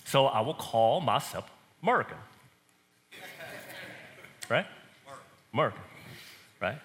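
A man from Korea with a Korean accent, preaching a sermon and swinging between loud and soft emphasis. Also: medium pitch, very animated, slow, long reverb tail.

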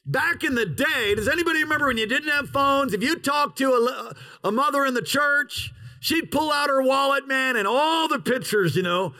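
A pastor preaching an outdoor sermon in a parking lot, with an opening prayer and emphatic, drawn-out pauses. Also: male voice, extremely high pitch, bright, forceful tone.

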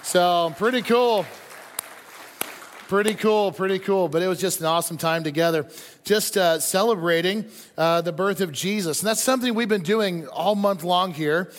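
A man with a rather high voice gives a conversational sermon as a pastor. He speaks informally and repeats short phrases to drive them home. His voice rings with the long reverb of a big hall. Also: normal speed, a faint crowd. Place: large indoor church hall.

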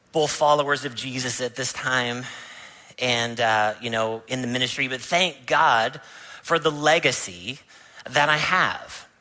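A male pastor preaching in a church, with a bright, clear-toned voice at a middling pitch. He tells stories in a conversational way and builds to emphatic points. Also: low-quality recording. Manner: storytelling, rising to emphatic preaching. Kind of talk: sermon. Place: church sanctuary.

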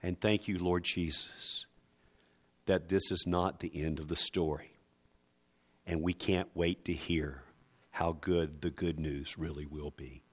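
A man preaching a sermon in an emphatic, dramatic and deliberate way, building through repeated phrases. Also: sits low, steady tone.

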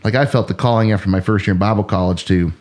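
A man's voice: lowish voice, fast, a little mellow. A man who is a pastor, hosting a casual podcast in a playful, rambling way and laughing often.

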